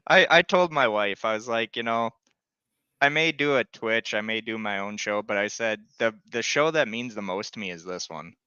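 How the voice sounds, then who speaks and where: Male co-host, crisp bright voice, jokey, dry lo-fi recording. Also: fairly low pitch, normal speed, no room sound. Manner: joking, swears casually. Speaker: podcast co-host. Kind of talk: casual podcast chat.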